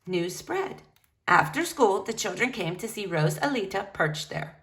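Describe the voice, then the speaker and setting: A woman reading a picture book aloud to young children in an expressive, animated way. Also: medium pitch, unhurried, vocal fry. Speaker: storybook reader.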